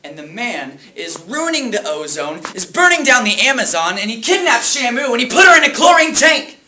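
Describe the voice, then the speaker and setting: A man's voice: high-pitched, very animated, measured pace, pushed and bright, pitched above the performer's own voice, full character voice. A man performing a theatrical monologue as an angry, defeated substitute teacher, sarcastic and explosive, ranting at children. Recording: echoey room with faint noise.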